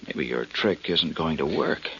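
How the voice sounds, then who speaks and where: An actor playing a nervous male dentist, hushed and watchful, in a 1940s radio drama. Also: extremely low pitch, expressive, a little slow, exaggerated voice. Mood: hushed, tense. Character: nervous male dentist helping a detective's trap. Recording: dull, noisy 1940s radio recording.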